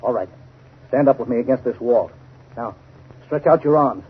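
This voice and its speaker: An actor playing a cunning, menacing crime boss with sneering, commanding delivery in a 1930s radio mystery style. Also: male voice, mid-range voice, normal speed, slightly rough. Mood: sneering, controlled. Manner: sneering, commanding, clipped orders. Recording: dull 1930s radio recording.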